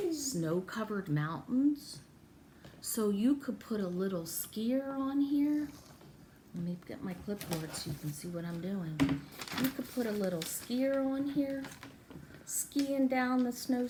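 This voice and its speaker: A woman runs a story time and craft lesson for little children. Her delivery is very animated, swinging widely in pitch and coaxing with questions and repeated words. There is clear background noise and clicking on the recording. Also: slow pace. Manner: animated, exaggerated, sing-song talk to small children. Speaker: storyteller and children's art teacher.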